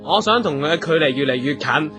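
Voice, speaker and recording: Lovestruck struggling entertainer: very high for a man, excitable, sincere, Hong Kong Cantonese, radio comedy. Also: fast talker. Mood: earnest, lovestruck. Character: eager lovestruck male performer with a struggling career. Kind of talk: radio comedy drama acting. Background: music.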